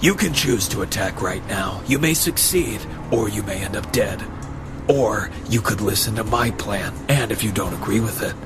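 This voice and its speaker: A grave masked hero of an audio drama, played by a man, speaks in a lowish, commanding voice. He is formal and measured, like a stern mentor, over a clear bed of music. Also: lightly acted.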